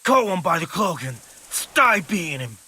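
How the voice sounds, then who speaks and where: A husky, forceful man's voice plays a rough village brawler in a video game. He is blunt, coarse and defiant, with lively swings in pitch. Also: normal speed, heavily acted. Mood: indignant. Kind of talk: video game dialogue acting.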